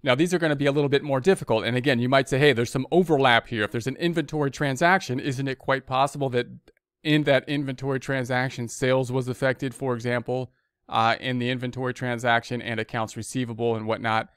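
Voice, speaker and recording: A man's voice: mid-range voice, fairly even intonation, fast, fairly bright tone, smooth, no room sound, studio quality. An American man giving an informal explanatory lecture as an instructor, talking conversationally and often rephrasing himself. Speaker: accounting instructor.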